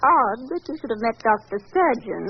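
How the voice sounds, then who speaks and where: An actress playing a doctor's secretary in a 1940s radio drama, brisk, haughty, suspicious and sharp-tongued. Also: female voice, a little high, sing-song, breathy edge, full character voice. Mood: icy, indignant. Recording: dull old radio recording.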